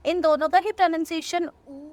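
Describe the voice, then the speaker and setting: A young woman teaching a language lesson, explaining step by step, repeating words slowly and often checking in with "right?". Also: very high-pitched, steady tone, darkish tone, dry recording. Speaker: French teacher. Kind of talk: language lesson in Hindi-English mix.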